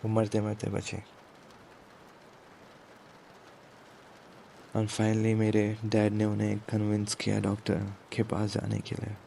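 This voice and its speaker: A man playing a commanding, protective mafia boss in an ASMR romance roleplay, speaking in a hushed, deliberate way with English words mixed in. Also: completely flat delivery, slight background noise. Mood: soft, reflective. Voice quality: low, gravelly, breathy at times. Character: commanding yet protective male mafia boss, tender with his captive.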